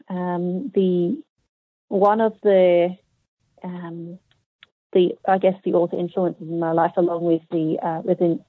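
A woman's voice: fairly low pitch, dry recording. Australian woman on a tinny phone line. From Hong Kong. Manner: hesitant, thoughtful, many pauses and fillers. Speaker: author guest. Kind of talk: conversational interview answers.

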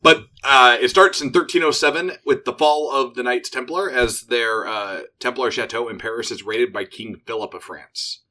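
A man's voice: moderate pace, hardly any pauses, bright, forceful tone. A man who designed the game, a podcast guest explaining it with enthusiasm in casual chat. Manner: enthusiastic, pitching his game.

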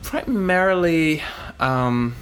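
A man's voice: a little high, animated, takes it slowly, fairly bright tone, slight background noise. A man with a Dutch accent from the Netherlands, a guest in a conversation, speaking hesitantly with many ums and some laughter. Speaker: interview guest. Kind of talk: casual conversation.

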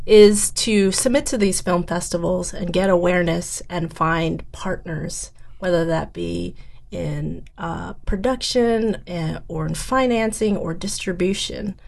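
A woman's voice: on the low side, slow, creaky. A woman startup founder answering interview questions in an earnest, step-by-step way.

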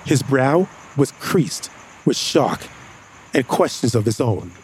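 Expressive male audio drama narrator. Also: takes it slowly, a little hiss or hum, poor audio. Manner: expressive, rising pitch in dialogue.

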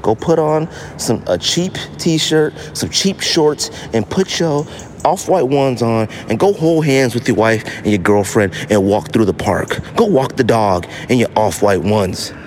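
A man hosting a podcast, giving a casual, opinionated monologue in an animated, slangy way. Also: medium pitch, a little slow, a little hiss or hum. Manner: animated, slangy, acts out imagined quotes. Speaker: podcast host.

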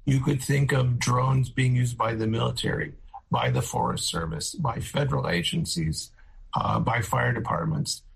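A man's voice: mid-range voice, moderate pace, vocal fry, husky. A man explaining a security issue as an interviewed expert, thinking aloud as he goes.

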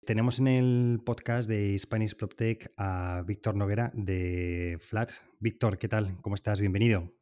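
A man's voice: on the low side, steady tone, measured pace, hardly any pauses, mellow. A man hosting a podcast interview, friendly and chatty, introducing and prompting his guest and laughing easily. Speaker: podcast host.

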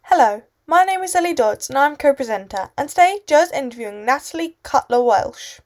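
A woman co-presenter reads a guest introduction aloud. Her high voice swoops and bounces, which gives the reading a lively, animated lilt. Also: fairly quick, very noisy.

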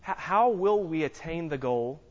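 A man preaching a sermon as a visiting minister in a church, speaking softly with many fillers and pauses, with no microphone. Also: fairly high pitch, lively intonation, highs cut off. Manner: soft-spoken, halting with frequent fillers. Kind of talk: sermon with scripture reading. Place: church sanctuary. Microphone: no microphone, distant.

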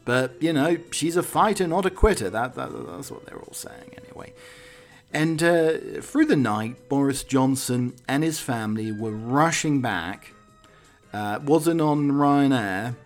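A man's voice: medium pitch, lively intonation, a little slow, soft background music. A man hosting a comic talk on the week's news, wry and digressive, with hesitant asides. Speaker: podcast host.

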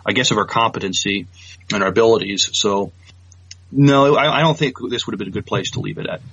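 Husky, low-voiced man on dull dry audio. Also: a bit bright, no echo. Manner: halting, stammers over repeated words. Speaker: podcast co-host. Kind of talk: casual news chat.

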